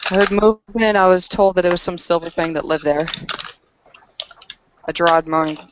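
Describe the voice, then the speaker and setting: A woman playing a battle-worn werewolf woman who speaks in a terse, grave, halting storytelling manner in a tabletop roleplay. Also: lowish voice, dull audio, noisy background, natural voice. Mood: grim, halting.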